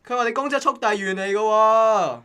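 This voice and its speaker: A man's voice: sits high, moderate pace, bright, forceful tone, clean tone. A man with a Hong Kong Cantonese accent playing a blunt, resentful young office assistant in a radio drama. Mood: suspicious, defiant. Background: faint music.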